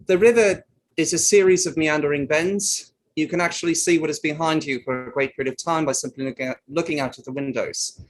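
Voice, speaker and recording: A man's voice: fairly high pitch, fairly even intonation, fairly quick, close to an everyday voice. A man playing a matter-of-fact, slightly defensive boat crewman giving evidence in a table read of an inquiry play.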